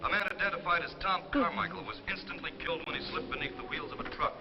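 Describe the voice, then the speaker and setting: A man announcing in formal, measured, scripted 1950s broadcast style. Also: a little high. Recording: muffled 1950s TV soundtrack, music behind.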